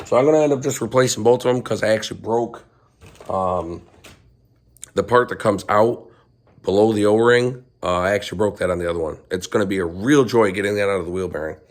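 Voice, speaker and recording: A hoarse man with laryngitis, hosting a casual garage vlog update, rambling with frequent ums and coughs. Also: fairly low pitch. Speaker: channel host, car restorer.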